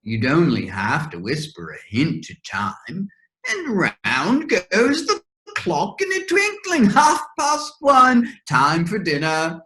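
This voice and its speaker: A woman's voice: on the low side, wildly swooping pitch, slow pace, a bit bright, full character voice. A woman playing an eccentric, huffy, scatterbrained hatter in a readers' theater storybook piece, theatrical and emphatic. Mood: whimsical explaining. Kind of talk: readers' theater of children's classic.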